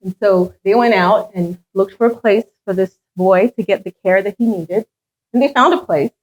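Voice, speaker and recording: A woman's voice: mid-range voice, normal speed, dull audio. A middle-aged woman who grew up in France and whose first language is French, leading a charitable foundation and giving an earnest story-driven speech with a touch of self-deprecating humor. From Ghana, raised in France. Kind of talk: public speech at a meet and greet.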